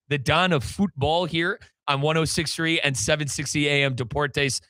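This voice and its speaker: A man with an American accent hosts sports radio in an energetic style. His voice is bright and forceful, pitched a little high for a man, and recorded dry and close in a studio. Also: no echo. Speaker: sports radio show host and play-by-play announcer.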